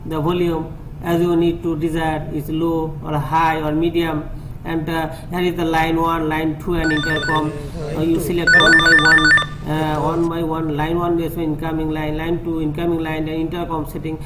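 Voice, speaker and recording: A man's voice: a little high, flat delivery. Bangladeshi man demonstrating, noisy recording. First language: Bengali. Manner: halting, repetitive step-by-step explaining. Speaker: tutorial presenter. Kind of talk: hands-on technical demonstration. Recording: clearly noisy room recording.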